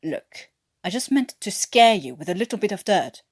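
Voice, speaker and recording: A woman's voice: mid-range voice, very animated, normal speed, husky. Woman reading as a stiff, defensive soldier, clipped tones. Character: stiff, awkward, defensive British officer in prison camp. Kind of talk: amateur audiobook character reading.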